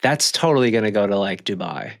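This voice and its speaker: A man's voice: fairly low pitch, big pitch swings, brisk, vocal fry, a bit bright, dry recording, studio quality. A man co-hosting a podcast, chatting casually in a slangy, emphatic, bantering way. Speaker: podcast co-host. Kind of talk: casual chat.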